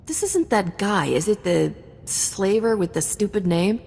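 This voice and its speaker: An actress playing a cocky smuggler captain in a video game, terse, dry and unflappable. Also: female voice, medium pitch, expressive, a little slow, gravelly, darkish tone, husky, heavily acted. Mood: cool, dry. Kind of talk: video game cutscene acting. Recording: long hall reverb, faint music behind.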